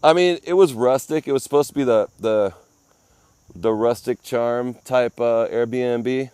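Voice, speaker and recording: A man's voice: medium pitch, measured pace, a little mellow, smooth, no echo. A man livestreaming outdoors from a phone with an ear-worn mic, chatting casually, rambling, and greeting viewers by name. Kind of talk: casual live-stream chat. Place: outdoors at a garden cafe.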